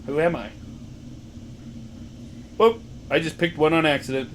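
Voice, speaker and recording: A loud young man co-hosts a laid-back podcast in a slightly higher register, playfully teasing his partner as he reads quiz questions aloud. A faint hiss sits under the recording. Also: a little slow. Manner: joking, playful, laughs often. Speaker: podcast co-host. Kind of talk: casual chat, reading quiz questions aloud.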